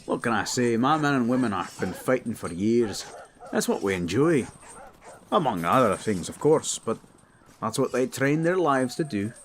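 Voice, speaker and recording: A man's voice: mid-range voice, expressive, moderate pace, slight background noise, natural voice. A man playing a proud, battle-hardened old dwarf king in a fantasy audio drama, speaking in a boastful, commanding, folksy way. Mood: hearty, proud.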